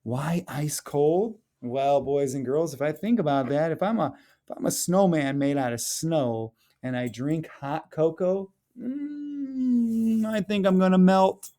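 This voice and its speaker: A man reading a picture book aloud as a teacher, chatting to children and explaining the pictures with expressive, drawn-out phrasing. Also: fairly high pitch. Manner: questions and chats to children, explaining illustrations.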